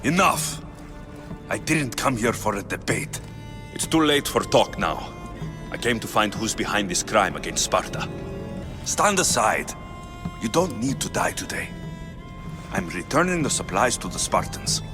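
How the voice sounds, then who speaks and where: A man's voice: fairly low pitch, very animated, a little slow, long pauses, over music. An actor playing a confident, wry male mercenary warrior of ancient Greece in a video game, blunt and commanding. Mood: stern, commanding. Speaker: video game lead character. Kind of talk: dramatic game cutscene acting.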